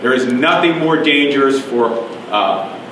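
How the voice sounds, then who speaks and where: A man speaking as a county commissioner at a press conference, steady and measured. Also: mid-range voice, recorded from a distance, slight background noise. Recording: echoey room, mic from afar.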